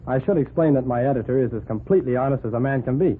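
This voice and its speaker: An actor playing a brash, eager newspaper reporter with breezy slang, in 1940s radio drama style. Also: male voice, mid-range voice, very fast, close to an everyday voice. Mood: earnest. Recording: noisy, phone-like 1940s radio recording.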